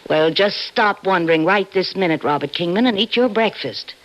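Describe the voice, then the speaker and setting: An actress playing a fond, reassuring wife who gently dismisses worries, in old-time radio drama style. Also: female voice, on the low side, lively intonation, moderate pace, close to an everyday voice. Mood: coaxing, reassuring. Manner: coaxing, hesitant repetitions. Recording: dull, faintly noisy vintage radio recording.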